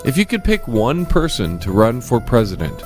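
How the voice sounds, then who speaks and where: A male radio host with a lively, swinging delivery and a mid-range voice. He rants in a mock-earnest, opinionated way, with music behind him. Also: medium pitch, normal speed. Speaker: radio talk show host. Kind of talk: opinionated talk radio monologue and call-in.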